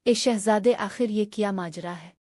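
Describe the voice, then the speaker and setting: A woman acts as a young princess in a cartoon folk tale. Her tone is dark and mellow, the recording close and dry. She declaims with grief and indignant reproach. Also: mid-range voice, normal speed, no room sound, natural voice. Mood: questioning. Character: grieving, indignant young princess, once poor. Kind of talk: dramatic storybook cartoon acting.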